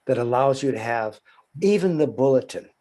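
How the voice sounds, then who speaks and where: Older man, workshop trainer, calm and explanatory, mid-pitched, on a video call. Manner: measured and explanatory, with soft chuckles. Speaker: workshop presenter and psychotherapy trainer. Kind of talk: teaching answers in a workshop Q&A.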